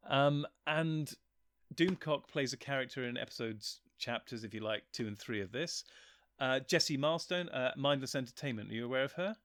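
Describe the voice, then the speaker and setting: Guy chatting away, keen and rambly, mid pitch voice, really dry close sound. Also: moderate pace, a bit bright, no room sound. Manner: enthusiastic, rambling and digressive. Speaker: guest, writer and voice actor. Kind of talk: casual chat.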